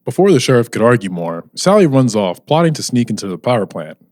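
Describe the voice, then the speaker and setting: A man's voice: medium pitch, sing-song, quick pace, darkish tone, no echo, studio quality. A man narrating a scripted radio drama as its storyteller.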